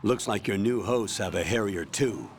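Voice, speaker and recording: An actor playing a stern colonel who gives orders over the radio, speaking in a firm, calm and commanding way in a video game cutscene. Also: male voice, lowish voice, moderate pace, a little hiss or hum, lightly acted.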